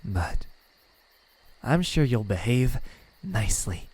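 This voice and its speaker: Here is a man acting as a lonely immortal demon in an ASMR roleplay. His voice is low and raspy, and he speaks very slowly. He is menacing and condescending, yet halting, with a tender side underneath. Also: lively intonation, a little mellow, dry recording, exaggerated voice. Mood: threatening, haughty. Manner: slow, halting, condescending speech with stammers and sighs. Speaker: voice actor.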